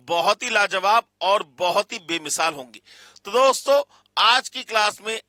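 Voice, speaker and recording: High, very bright Indian man teaching. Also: dry recording. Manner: emphatic, repeats phrases, asks and answers own questions. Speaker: maths teacher. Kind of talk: lively lecture to students, Hindi mixed with English.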